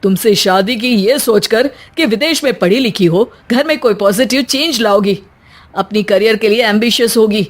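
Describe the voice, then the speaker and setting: A woman's voice: medium pitch, moderate pace, lightly acted. A woman voicing an earnest young husband who lectures reproachfully in a moral story. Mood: stern reproach.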